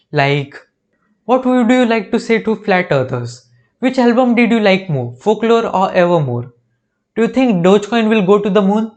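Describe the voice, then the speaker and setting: A young man with an Indian accent, recapping a TV show with sarcastic, jokey asides. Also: sits high. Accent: Indian English. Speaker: reaction video host. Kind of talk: comedic recap narration.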